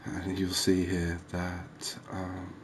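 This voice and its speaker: A man giving soft-spoken ASMR game commentary as the host, in a gentle, unhurried, hesitant way. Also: deep voice, completely flat delivery, slight background noise. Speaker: gaming video host.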